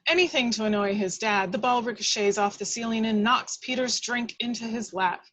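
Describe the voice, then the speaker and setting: A woman's voice: fairly high pitch, moderate pace, fairly bright tone. A woman reading stage directions as the narrator of a script table read, in a dramatic storytelling style.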